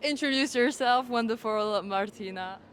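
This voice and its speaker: A woman's voice: on the high side, moderate pace, fairly bright tone, slight background noise. Woman interviewer, slightly off-mic. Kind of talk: informal on-location interviewing.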